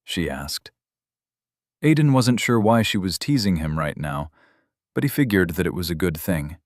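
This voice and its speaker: A male narrator with a deep, low voice reads a fantasy novel in steady, even scripted narration. The recording is close and dry, with no room sound. It has the polished feel of a studio audiobook. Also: moderate pace, dry recording.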